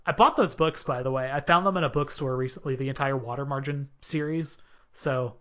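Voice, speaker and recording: A man's voice: mid-range voice, fairly quick, bright, forceful tone. A man hosting a casual podcast chat, rambling and joking with many hesitations. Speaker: podcast host. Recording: dull lo-fi podcast audio.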